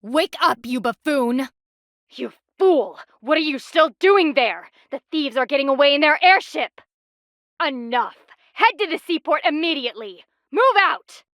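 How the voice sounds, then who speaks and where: A woman's voice: high voice, lively intonation, measured pace, a bit bright, no echo, studio quality, full character voice. An actress voicing a stern, haughty female panda palace enforcer in a video game, formal, commanding and disdainful. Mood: angry, commanding.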